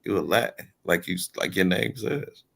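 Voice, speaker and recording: A man's voice: low-pitched, moderate pace, creaky, a bit bright, slightly rough. A man on a casual live panel, speaking firmly as if laying out a point. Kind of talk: casual debate.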